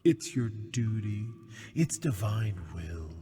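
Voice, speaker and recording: A man playing a seductive, taunting demon prisoner in an ASMR roleplay, husky and breathy, teasing in mock-sweet tones and often sinking toward a whisper. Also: fairly low pitch, big pitch swings, mellow, faint music behind, full character voice. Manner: slow, teasing, mock-sweet, lingering on words. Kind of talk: ASMR roleplay, scripted monologue. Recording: long hall-like reverb.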